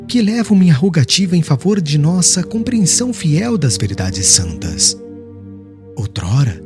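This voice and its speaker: A man voicing a serene, devout young preacher in an audiobook, eloquent and formal, declaiming with solemn firmness. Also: fairly high pitch.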